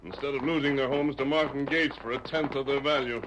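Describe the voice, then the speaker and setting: A man's voice: medium pitch, steady tone, a little fast, lightly acted. Man playing a noble cowboy hero, noisy old radio. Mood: earnest. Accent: American. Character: noble heroic masked cowboy lawman, earnest and commanding. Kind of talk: old-time radio western acting. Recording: noisy, clicky vintage radio recording.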